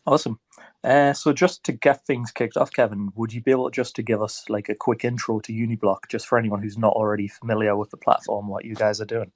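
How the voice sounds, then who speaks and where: A man's voice: fairly low pitch, fairly quick, dry recording. Stuffed-up man hosting a chat. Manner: relaxed, rambling, filler-heavy. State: stuffed up with a cold. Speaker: host. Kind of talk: casual chat.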